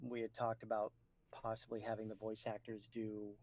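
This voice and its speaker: A man's voice: lowish voice, completely flat delivery, moderate pace, a little mellow, a little hiss or hum. A man hosting a podcast, chatting casually and explaining things in an informal way. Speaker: podcast host.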